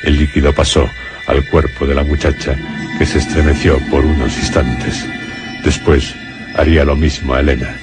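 A man narrating a scripted radio horror story in a grave, deliberate manner, with dramatic pauses. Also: extremely low pitch.

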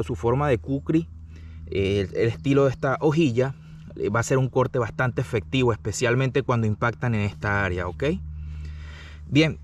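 A man giving a casual, explanatory hands-on product review, often pausing to check the listener follows. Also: mid-range voice. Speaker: product reviewer, channel host. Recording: distant mic, faint background noise.

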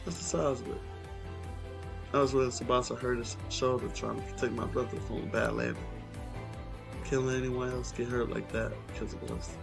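A man's voice: medium pitch, little pitch movement, moderate pace, gravelly. A young man doing casual, slangy gaming commentary and reading game dialogue aloud. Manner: informal, slangy. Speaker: gaming streamer. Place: indoor room at home, streaming on webcam. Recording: game music behind the voice. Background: video game music.

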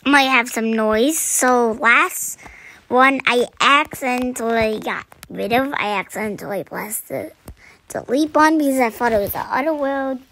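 A woman's voice: a little high, measured pace, fairly bright tone. Young woman with a scratchy morning voice. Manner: chatty, self-deprecating, often drops to a whisper. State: scratchy, throat-clearing voice. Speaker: gaming channel host. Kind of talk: casual gameplay commentary.

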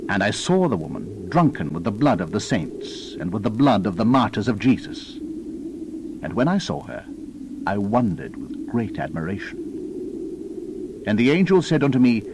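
A man giving a solemn, theatrical dramatized reading of scripture as a narrator, with deliberate emphasis. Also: lowish voice, sing-song, noisy background. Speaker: Bible narrator.

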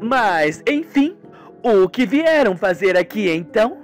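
A man's voice: high voice, big pitch swings, slow pace, faint music behind, full character voice. A man voicing a young, wealthy woman who runs a ranch, defensive and flustered, in a radio soap. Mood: surprised. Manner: startled, questioning.

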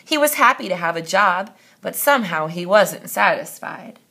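A woman reading a children's story aloud in an expressive narrating style. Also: on the low side, moderate pace, creaky. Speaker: storybook reader.

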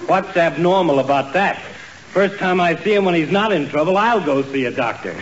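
A gruff, sarcastic town doctor, brusque and quick to trade insults. His voice sits a little high. It reaches the ear through a dull, faintly hissing 1940s radio broadcast. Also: lively intonation. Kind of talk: 1940s radio sitcom acting.

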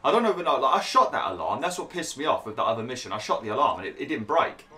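A man's voice: medium pitch, very animated, racing, bright, forceful tone. A young man commentating over gameplay, chatty and grumbling, saying he is losing his voice. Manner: chatty, grumbling, laughs at himself. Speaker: gaming YouTuber.

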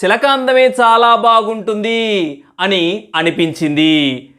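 A woman voicing a beautiful female swan in a storybook, modest and discontented, explaining in a measured way. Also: mid-range voice, a bit bright.

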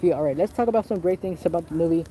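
A man's voice: a little high, very fast, dark tone, slight background noise. Rambling, cursing man filming a phone walk. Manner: rambling, profane, restarts often. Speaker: vlogger. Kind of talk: casual walking vlog. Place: outdoors on a resort path. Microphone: phone camera held while walking.

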